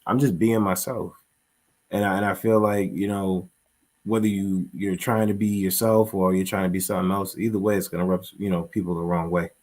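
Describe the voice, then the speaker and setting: A man as an interview guest, talking in a halting, rambling way full of fillers. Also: low voice, little pitch movement, a little mellow. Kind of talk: conversational interview.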